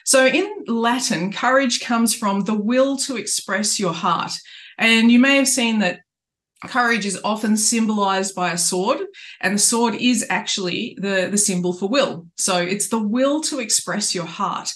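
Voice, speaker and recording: A middle-aged woman speaks at an ordinary, middle pitch on a home webcam. She coaches earnestly and spiritually, often breaking off and restarting her sentences. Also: measured pace, a bit bright. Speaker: life coach. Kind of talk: reflective coaching talk to camera. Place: indoors in a small home room.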